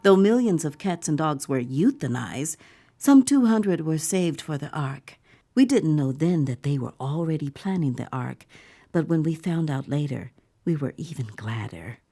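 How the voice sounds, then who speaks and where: A woman performing audio fiction as an awed theme-park visitor in a bleak future, mixing breathy wonder with wry understatement and often slipping into a whisper. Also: sits low, expressive, a little slow, dark tone, full character voice. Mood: wry, measured. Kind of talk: dramatic first-person audio fiction narration.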